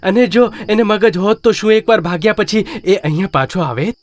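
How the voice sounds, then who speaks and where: A man voicing a sly, flattering jackal minister in a children's animated story, wheedling and smooth-talking. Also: high voice, brisk, dry recording, natural voice. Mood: protesting, cunning.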